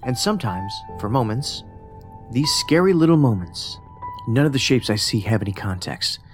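A pedantic male federal agent, played by an actor, speaks in a mid-pitched voice with music beneath. He is precise and formal, musing in a detached, socially awkward way, as in a science fiction audio drama. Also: expressive, normal speed, lightly acted. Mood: musing, unsettled. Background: music under the voice.

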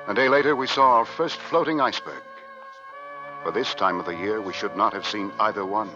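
An actor playing a dutiful ship's navigator narrating an Arctic voyage in formal, dramatic old-time radio style. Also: male voice, fairly low pitch, brisk, close to an everyday voice. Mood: grave, measured. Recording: dull vintage radio recording with music.

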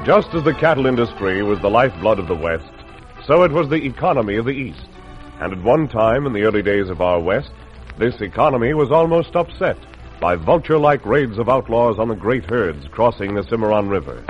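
A man's voice: on the low side, animated, fairly quick, natural voice. A man plays a stentorian narrator of a vintage radio western, his delivery sweeping widely up and down. Music plays along, and the recording is muffled and old. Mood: stirring, dramatic. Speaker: announcer and narrator. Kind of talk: 1940s-50s radio western announcing.

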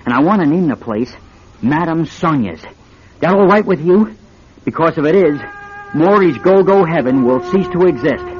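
An actor playing a brash, showy, smooth-talking nightclub owner in a radio drama. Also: male voice, on the high side, animated, moderate pace, close to an everyday voice. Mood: eager, pushy. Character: brash, hustling nightclub owner and showman, adult man. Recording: dull radio recording with crowd noise.

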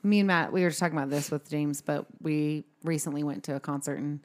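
A woman's voice: deep voice, steady tone, fast talker, darkish tone. A woman co-hosting a podcast, talking in a chatty, informal way and putting questions to a guest. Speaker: podcast co-host. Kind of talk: casual podcast chat.

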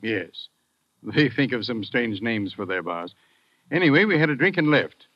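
A man's voice: medium pitch, animated, normal speed, natural voice. An actor playing a matter-of-fact businessman who survived a shooting, in an old radio drama. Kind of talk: 1940s radio acting. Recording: dry, dull old radio recording.

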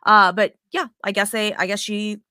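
Woman podcast host, bright and punchy, loose and profane, dry close mic. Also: medium pitch, moderate pace, clear, resonant tone, dry recording. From Las Vegas, United States. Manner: excitable, swears freely, animated storytelling. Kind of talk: casual sports podcast chat.

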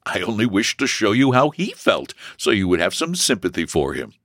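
A man's voice: lowish voice, very animated, normal speed, fairly bright tone, no room sound, clean studio sound, voice pushed up. A man voicing a good-humored, affectionate young brother in a storybook reading. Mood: teasing.

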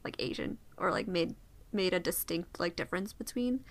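A woman's voice: lowish voice, normal speed. A young adult woman leading a casual conversation, telling a memory in a chatty, reflective way and asking her friends follow-up questions. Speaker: discussion leader.

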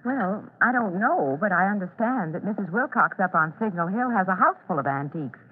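A woman's voice: mid-range voice, expressive, brisk, breathy edge. An actress playing a kindly, reassuring mother in an old-time radio drama, motherly and encouraging. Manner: encouraging, motherly, matter-of-fact. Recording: tinny vintage radio recording.

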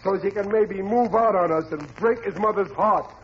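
A man's voice: sits high, moderate pace, lightly acted. An actor playing a blustering, dim working-class father in a 1940s radio comedy, with slangy, exasperated speech. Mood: stubborn. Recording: muffled, hissy old radio recording.

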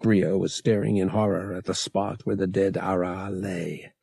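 A man's voice: low voice, little pitch movement, unhurried, vocal fry, warm tone, slightly rough, dry recording, clean studio sound. A man narrating action fiction as a dramatic scripted audiobook read.

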